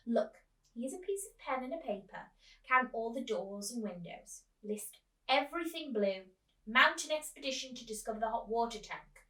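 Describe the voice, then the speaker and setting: A woman voicing a busy, distracted father in a storybook, offhand and brisk. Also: a little high, lively intonation, sharp tone. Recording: roomy echo, distant microphone.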